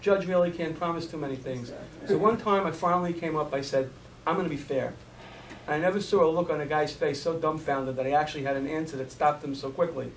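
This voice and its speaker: A man's voice: fairly high pitch, fairly quick. Brooklyn-accented man, distant in echoey room. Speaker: judge, forum panelist. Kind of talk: anecdotal remarks to an audience. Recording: roomy, distant mic, faint noise.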